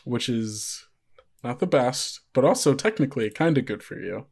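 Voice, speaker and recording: A man's voice: mid-range voice, expressive, moderate pace, creaky, darkish tone. A man hosting a podcast as game master, chatting casually in a playful, self-deprecating, rambling way.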